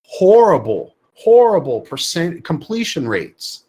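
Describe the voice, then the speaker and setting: A man's voice: on the high side, very animated, takes it slowly, warm tone, husky. A man talking as a podcast guest, blunt and emphatic, repeating words for effect. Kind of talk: casual podcast chat.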